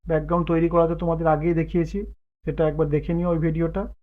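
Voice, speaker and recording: Phone-like, higher-pitched man giving a tutorial. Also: little pitch movement, fast. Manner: explains while working, thinking aloud. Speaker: tutorial host. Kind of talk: step-by-step software tutorial, casual spoken instruction. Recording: muffled phone-like narow-band sound.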